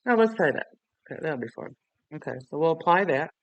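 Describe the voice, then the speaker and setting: A man hosting a software tutorial in a casual, rambling, self-deprecating way. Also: on the high side, expressive, fairly quick, no room sound, rough recording. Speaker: tutorial host.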